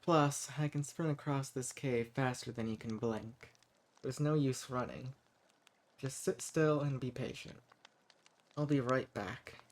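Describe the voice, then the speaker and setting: A man's voice: mid-range voice, lightly acted. A man playing a centuries-old vampire in an audio roleplay, speaking straight to the listener with condescending, taunting menace. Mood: mocking, threatening. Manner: condescending pet names, taunting, unhurried menace. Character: centuries-old sadistic male vampire, coolly mocking and possessive. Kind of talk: scripted audio roleplay spoken directly to the listener.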